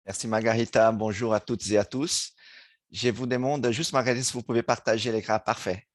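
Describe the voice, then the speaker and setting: Halting Brazilian man presenting slides. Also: mid-range voice, steady tone, no echo, clean studio sound. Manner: halting, searching for words, frequent restarts. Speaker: guest speaker, board chairman. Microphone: remote video call.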